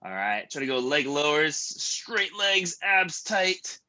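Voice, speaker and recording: A man in his thirties leading a stretch class, joking between calm breathing cues. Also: a little high, slow. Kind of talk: guided stretching class with casual chat.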